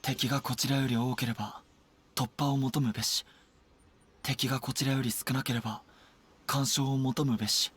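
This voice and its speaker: An actor playing an earnest, dutiful young army captain in an anime game, with a formal military manner. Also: male voice, mid-range voice, steady tone, quick pace, pauses often, a bit bright. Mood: solemn reciting. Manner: crisp, military, formal recitation and briefing. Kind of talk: Japanese anime game voice acting. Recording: game dialogue over background music.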